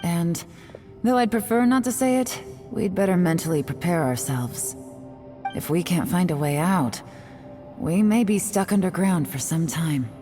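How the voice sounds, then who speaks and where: A woman voicing a cool, serious leader in a video game, measured and authoritative. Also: on the low side, expressive, dark tone, soft background music. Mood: grave, thoughtful.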